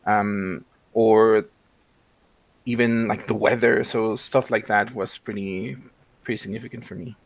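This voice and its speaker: A man's voice: fairly low pitch, steady tone, a little slow, vocal fry, poor audio. A man in his thirties from Mexico, a guest in a casual interview, talking with many fillers and restarts. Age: 30s.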